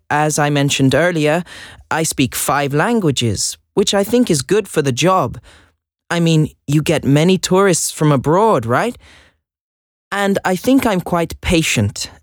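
A man's voice: fairly high pitch, no room sound. Actor as earnest teen boy, Swedish native, hesitant and chatty, dry close sound. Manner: hesitant, chatty, laughs nervously. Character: earnest 16-year-old boy job applicant. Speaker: job applicant. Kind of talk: scripted listening dialogue.

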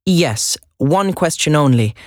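A man's voice: a little high, lively intonation, slow, no room sound, clean studio sound. An actor playing a sixteen-year-old boy, a native Swedish speaker, in a job interview, chatty and a bit hesitant with nervous laughs. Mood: earnest. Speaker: job applicant. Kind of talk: scripted listening dialogue.

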